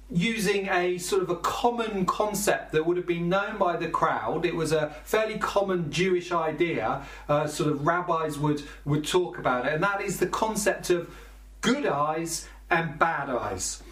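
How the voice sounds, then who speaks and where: A man with a fairly high voice preaches a sermon. He explains things conversationally and sometimes restarts a phrase. He sounds echoey and set back from the microphone. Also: a bit bright. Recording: roomy, from a distance.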